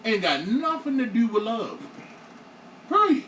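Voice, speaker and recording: A man in his late thirties talking casually on a panel, animated and repeating himself, acting out his own thoughts. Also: very high voice, a little slow. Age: 30s. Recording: echoey room, far from mic, faint hiss.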